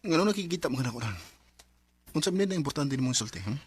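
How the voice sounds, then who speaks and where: A man's voice: medium pitch, sing-song, fast, music in the background, close to an everyday voice. An actor playing a closeted man torn between two lovers in a Philippine radio drama, earnest and pleading, with a Bisaya Filipino accent.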